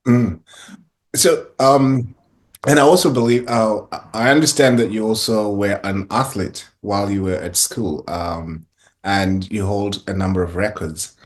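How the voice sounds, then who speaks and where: A man hosting a casual interview podcast, asking questions in a relaxed way with plenty of fillers. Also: on the low side, a little slow. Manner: relaxed, many fillers like um and you know. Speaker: podcast host.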